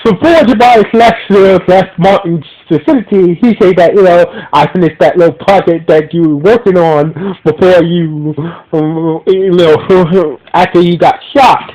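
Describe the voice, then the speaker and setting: A man's voice: sits high, a little mellow, slight background noise, rough recording. Stuffy-nosed man rambling through a recap. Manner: halting, many restarts and fillers, keeps saying "so basically". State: stuffy nose. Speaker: podcast host reviewing a TV episode. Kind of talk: casual unscripted recap.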